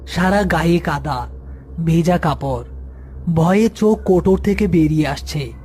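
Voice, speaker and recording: A man's voice: a little high, measured pace, a little mellow, soft background music. A man narrating a scripted horror folk tale as storyteller.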